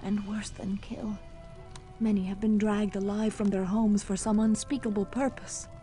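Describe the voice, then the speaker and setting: A woman playing a gentle young nun. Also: medium pitch, little pitch movement, normal speed, close to an everyday voice. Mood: tender. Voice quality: archaic formal speech. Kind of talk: video game voice acting. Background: game music.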